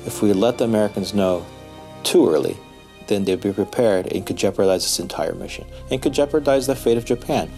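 A man in his forties explaining history in a documentary interview, speaking haltingly as an expert. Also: on the low side, warm tone. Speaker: history professor, expert commentator. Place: indoor room, seated interview. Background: music.

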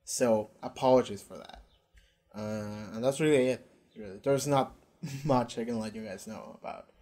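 A man's voice: mid-range voice, normal speed, mellow. A young man from Argentina with an Argentinian accent, rambling casually and swearing as he reacts to a show, recorded on a headset in a small bedroom. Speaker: YouTube reactor.

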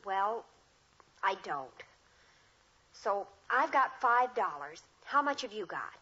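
An actress playing a bossy, earnest teenage big sister in a 1950s radio sitcom. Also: female voice, medium pitch, big pitch swings, slow, bright, forceful tone, slightly rough, heavily acted. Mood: insistent. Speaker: actor in a radio family sitcom. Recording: dull old radio recording.

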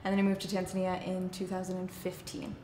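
A woman from Arizona, a nonprofit director, talking about herself in a relaxed, friendly interview indoors. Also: fairly low pitch, fast, reverberant, slight background noise. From Arizona, United States. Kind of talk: casual interview. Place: indoor room with a plain wall.

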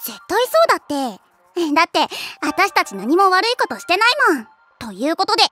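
A woman voicing a cheerful, easygoing high school girl in an anime, with a playful, breezy manner. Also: very animated, brisk, soft background music, heavily acted. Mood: insistent, playful. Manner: playful, breezy, exclaims brightly. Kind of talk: Japanese anime voice acting.

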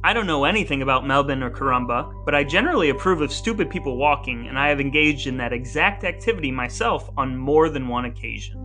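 A man's voice: mid-range voice, expressive, fast talker, few pauses, bright, forceful tone, soft background music. A man co-hosting a podcast in a conversational, lightly scripted style, with a wry, mock-formal and wordy way of talking. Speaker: podcast co-host.

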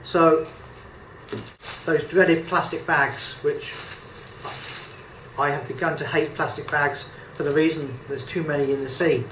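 A man's voice: fairly high pitch, fairly even intonation, unhurried, pauses often, fairly bright tone, a little hiss or hum. A man in his fifties with a British accent, giving a casual photography tutorial at a table, talking chattily with lots of ums and uhs. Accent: British English. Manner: chatty, hesitant with many fillers, good-humoured. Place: indoor room at a table. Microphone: camera mic a few feet away. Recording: echoey room with faint background ambience.

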